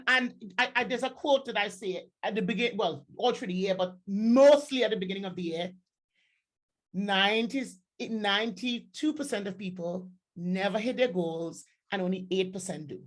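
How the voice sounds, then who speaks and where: A woman hosting a podcast, chatting casually in an emphatic, excitable way and often repeating her words. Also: mid-range voice. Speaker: podcast host. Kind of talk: casual interview chat.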